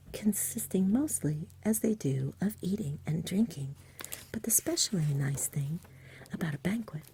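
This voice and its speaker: A woman reading a bedtime story in a soft, whispery ASMR style, gentle and unhurried, close to the mic. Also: deep voice, slight background noise. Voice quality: whispery, breathy. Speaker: storybook reader.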